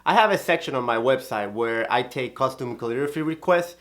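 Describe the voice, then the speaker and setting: A man's voice: medium pitch, a little fast. Man teaching calligraphy. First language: Spanish. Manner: explanatory, steady, methodical. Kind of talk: instructional video talk.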